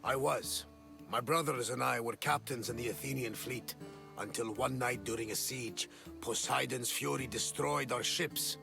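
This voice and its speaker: An actor playing a weathered, pious sea captain in a video game, talking dramatically with a gravelly voice and calling on the gods. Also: male voice, mid-range voice, moderate pace, music in the background, lightly acted. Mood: grateful. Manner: dramatic, invokes the gods, bursts into laughs. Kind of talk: video game cutscene acting. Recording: game score under the voice.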